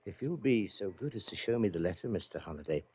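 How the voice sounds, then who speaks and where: A courteous yacht steward who is formal, deferential and gives little away. His delivery is lively in pitch and slightly husky, in the manner of 1940s radio drama, on a dull vintage recording. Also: fast. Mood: polite, reserved. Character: polite, evasive, unflappable male yacht steward.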